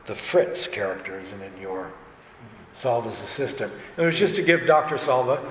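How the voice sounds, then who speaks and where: A man talking casually as a director and actor on a convention panel, chatty and halting, often restarting his thoughts. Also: mid-range voice, lively intonation, fairly bright tone. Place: large convention panel room. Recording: echoey hall, distant, noisy room. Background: room ambience and noise.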